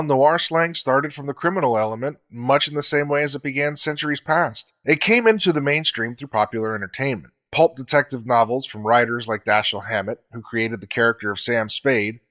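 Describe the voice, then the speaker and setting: A man co-hosting a podcast, explaining facts in a measured, scripted way. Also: medium pitch, a bit bright.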